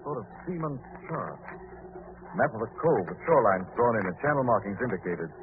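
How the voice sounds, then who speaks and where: An actor playing a brisk, shrewd investigator in an old radio drama, terse and authoritative. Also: male voice, fairly low pitch, big pitch swings. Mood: curious, terse. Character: brisk, shrewd male amateur investigator, decisive. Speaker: actor in a radio mystery serial. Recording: tinny, noisy old radio recording.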